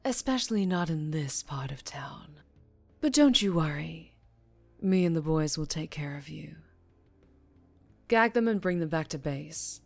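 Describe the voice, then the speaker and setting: A woman playing a dangerously charming female mafia boss in an ASMR roleplay, teasing and deliberate, often slipping into whispers. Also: low voice, lively intonation, creaky, a little mellow, dry recording, soft background music, exaggerated voice. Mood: calm menace.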